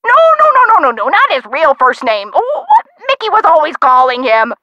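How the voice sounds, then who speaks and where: Feisty, animated old gal. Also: female voice, high voice, moderate pace, no room sound, lo-fi sound, full character voice. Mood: searching memory. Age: older. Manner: theatrical, folksy old-time slang. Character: feisty old woman, a gangster's chatty former sweetheart. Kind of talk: video game character dialogue.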